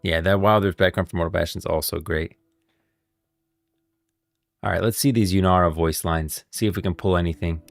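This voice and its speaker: Male streamer, low and creaky voice, enthusiastic, emphatic, off-the-cuff lore commentary. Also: fairly even intonation, a little fast, pauses often, no echo. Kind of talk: casual livestream game lore commentary. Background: music.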